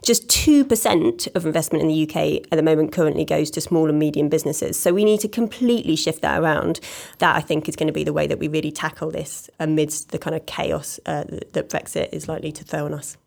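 A woman's voice: low-pitched, fast, vocal fry, a little mellow. A woman speaking on a discussion panel about inequality and investment, earnest and fluent with fillers. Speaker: panel guest.

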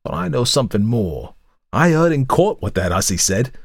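A man's voice: medium pitch, big pitch swings, moderate pace, gravelly, dry recording, clean studio sound, voice pushed up. A man reading aloud, voicing a scarred, cunning old woman with a wheezing, wheedling voice. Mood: sly. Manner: leering, wheedling, calls everyone dearie.

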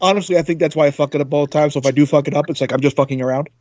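A husky man with a speech impediment, swearing and rattling through banter on a homemade podcast, slightly muffled. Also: a little high, steady tone, rapid-fire, darkish tone, no echo. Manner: foul-mouthed, blunt, repeats himself. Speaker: co-host. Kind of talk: casual banter. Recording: dry, slightly dull lo-fi sound.

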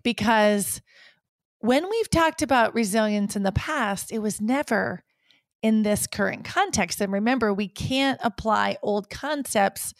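A woman's voice: medium pitch, dry recording, studio quality. A woman hosting a podcast interview, upbeat and laughing easily as she introduces her guest and asks questions. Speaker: podcast host.

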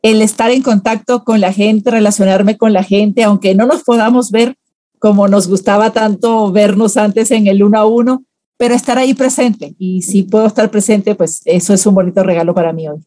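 A woman's voice: mid-range voice, steady tone, moderate pace, dark tone, no room sound. A woman, a public relations consultant speaking reflectively as a podcast guest, with frequent fillers. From Venezuela. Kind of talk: podcast interview.

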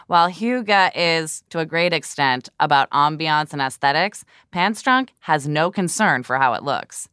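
A woman's voice: deep voice, fairly bright tone, smooth, no echo. A woman co-hosting a podcast, chatting casually with dry teasing and reading steps aloud. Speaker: podcast co-host.

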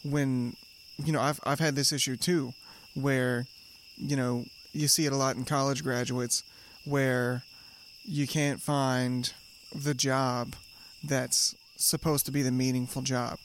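A man's voice: mid-range voice, level tone, slow pace, slight background noise. Reflective man co-hosting a podcast. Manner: supportive, reflective, many fillers and restarts. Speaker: podcast co-host. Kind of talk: casual conversation.

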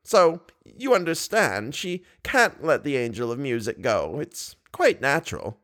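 A man's voice: mid-range voice, big pitch swings, a little slow, fairly bright tone, voice pushed up. A man reading aloud, voicing a kindly, bedridden old woman who speaks with simple, cheerful faith. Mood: earnest.

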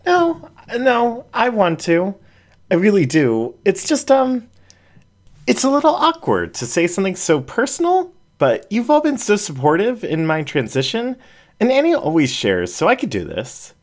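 A woman's voice: mid-range voice, wildly swooping pitch, normal speed, darkish tone, voice pushed up. A reader voicing a shy young female raccoon who talks in hesitant, emotional bursts. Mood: shy, tender.

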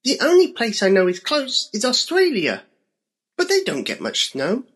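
A man's voice: very high voice, huge pitch swings, moderate pace, a bit bright, breathy edge, voice pushed up, full character voice. A man voicing an eager, lost young male bunny in a children's story.

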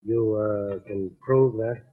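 An actor playing a cold, defiant crooked club owner in a 1940s radio crime drama, curt and guarded. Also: male voice, fairly low pitch, fairly even intonation, slow. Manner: curt, guarded, hard-edged. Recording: dull, lo-fi 1940s radio recording.

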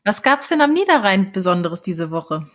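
A woman's voice: mid-range voice, sing-song, normal speed. A woman chatting casually as co-host of a podcast.

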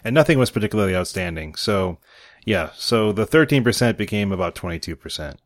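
A curious man co-hosts a podcast, asking questions in a slightly low voice. The sound is dry and close. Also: animated, a little fast, dry recording. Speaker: podcast co-host. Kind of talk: casual podcast discussion.

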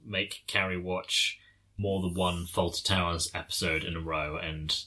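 Deep-voiced Englishman chatting about a film. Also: steady tone, unhurried, pushed and bright. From England. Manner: thoughtful, hesitant, trails off mid-sentence. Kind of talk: casual film discussion.

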